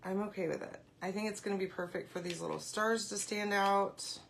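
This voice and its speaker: A woman hosting a casual craft live stream, congested and often clearing her throat, chatting and greeting viewers by name. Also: mid-range voice, steady tone, a little fast, a little hiss or hum.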